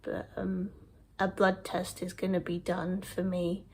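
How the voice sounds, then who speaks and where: Young British-raised man, vlogger, congested and nasal from a cold, slow gravelly speech, faint hiss behind. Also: high-pitched, completely flat delivery. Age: 20s. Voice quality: slightly nasal, snotty. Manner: hesitant, soft-spoken, with restarts and pauses. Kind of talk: personal vlog recap.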